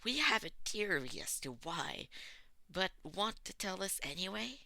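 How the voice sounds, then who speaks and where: Man as a taunting young villain leader, sharp-edged. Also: high-pitched, big pitch swings, unhurried, gravelly, dry recording, pitched above the performer's own voice, heavily acted. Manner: taunting, wicked, easily annoyed. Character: menacing young male villain leader, mocking and possessive.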